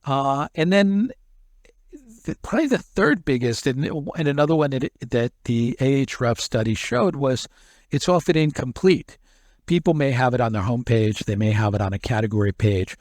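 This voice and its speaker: A man's voice: mid-range voice, darkish tone, no echo. Man explaining at length, dry sound. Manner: explains in long run-on stretches, repeating words when he restarts. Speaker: SEO expert guest. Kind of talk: explanatory interview talk.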